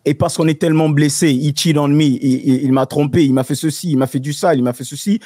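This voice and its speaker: A man's voice: a little high, fairly even intonation, fast talker, warm tone, dry recording. A man in a casual studio debate, speaking emphatically and slipping English phrases into his talk. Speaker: panel guest.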